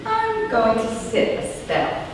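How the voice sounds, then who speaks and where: Woman acting a gentle, reflective loner in an echoey hall. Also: high-pitched, sing-song, slow, heavily acted. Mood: calm, reflective. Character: solitary reflective woman, gentle and curious, meditating alone outdoors. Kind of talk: stage play acting. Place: theatre stage, live performance. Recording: echoey hall from far off, faint noise.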